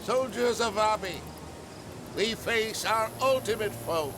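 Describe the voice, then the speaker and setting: A man's voice: high-pitched, slow, background noise. A man voicing a proud, heroic prince in a video game, in declamatory oratory to his soldiers. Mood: rousing. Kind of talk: video game cutscene acting.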